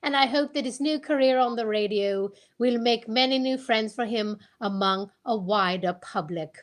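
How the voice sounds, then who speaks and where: Gracious English lady mystery writer as played by a woman, fairly high voice, formal measured radio address. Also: natural voice. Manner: formal, measured, read aloud. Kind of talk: old-time radio address, amateur reading.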